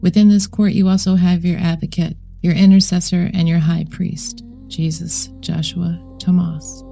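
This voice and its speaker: A woman with a low, soft-edged mellow voice narrates a guided meditation. Her delivery is solemn and measured, with deliberate phrasing and a creaky grain. Music plays behind her. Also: little pitch movement. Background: background music.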